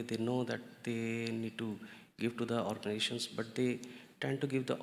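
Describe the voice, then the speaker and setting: A man speaking on a conference panel about running a hospice, halting with many fillers and restarts. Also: mid-range voice, creaky, big-room sound. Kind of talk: unscripted panel remarks. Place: conference hall.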